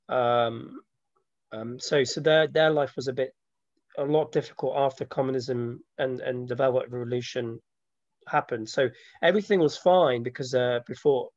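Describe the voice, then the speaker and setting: A man, answering interview questions in a reflective, halting way. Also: mid-range voice, a little mellow, no room sound. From born in Slovakia, grew up from age seven in London. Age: 30s. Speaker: interviewee, film maker and photographer. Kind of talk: informal personal interview. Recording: dull lo-fi audio, dry.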